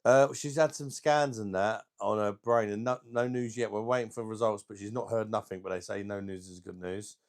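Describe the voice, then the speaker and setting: A middle-aged man with a deep voice and a sore throat, chatting casually as he hosts a beer channel livestream and reads out viewer comments in a rambling, laid-back way. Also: fairly even intonation, moderate pace, hardly any pauses. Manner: rambling, laid-back, chuckling between remarks. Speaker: beer review channel host.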